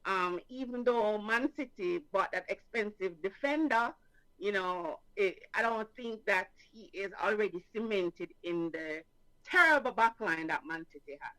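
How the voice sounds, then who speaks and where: A woman with a Jamaican accent co-hosting a sports talk show, emphatic and animated. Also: mid-range voice, a little slow, poor audio. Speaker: co-host.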